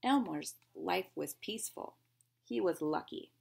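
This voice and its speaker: A woman's voice: on the low side, animated, unhurried, vocal fry, a little mellow, slightly rough. A woman reading a picture book aloud to young children like a teacher, expressively, with simple explanations and questions along the way.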